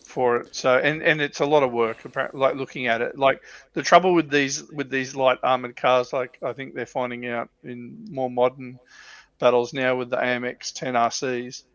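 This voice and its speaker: A man's voice: medium pitch, steady tone, moderate pace, fairly bright tone, dry recording, lo-fi sound. An Australian man chatting casually on a hobby livestream about military vehicles.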